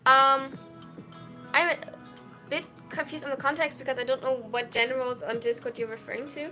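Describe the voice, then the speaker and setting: A woman's voice: high-pitched, level tone, fairly quick, bright, forceful tone, soft background music. A woman of twenty-one chatting casually on a livestream, answering viewer questions in a giggly way full of "like" and "um". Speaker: streamer and college student.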